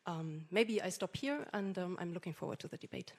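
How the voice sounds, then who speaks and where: Woman, slightly low voice, measured, hesitant panel remarks. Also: a little mellow.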